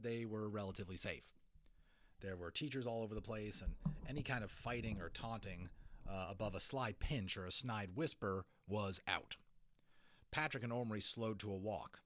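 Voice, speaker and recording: A man narrating a children's audiobook in an expressive, dramatic storytelling manner. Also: lowish voice, no room sound, studio quality. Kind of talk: dramatic children's story reading with informal asides.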